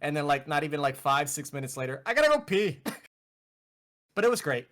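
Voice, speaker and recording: A man's voice: a little high, very animated, moderate pace, fairly bright tone. A man chatting casually as a podcast co-host, joking and teasing in a loose, sweary way. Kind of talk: casual chat on a gaming podcast.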